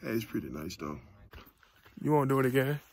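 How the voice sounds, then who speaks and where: A man's voice: mid-range voice, sing-song, a little fast, darkish tone, a little hiss or hum. A man in his twenties chatting casually for a hiking vlog into a handheld phone outdoors, slangy, joking and swearing. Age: 20s. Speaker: vlogger. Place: mostly outdoors on mountain trails. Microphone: phone at arm's length.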